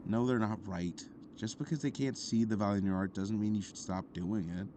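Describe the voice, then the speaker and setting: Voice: low-pitched, fairly quick, noisy background, natural voice. An actor voicing a kind young boy who teases and reassures his friend. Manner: warm, teasing, reassuring, animated. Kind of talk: audio drama acting.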